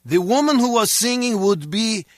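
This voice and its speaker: A man's voice: high voice, animated, normal speed, no room sound, clean studio sound. An actor playing an earnest, comically overdramatic henchman in a video game. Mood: pained. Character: earnest, comically overdramatic male henchman, long-suffering music lover. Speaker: crewman.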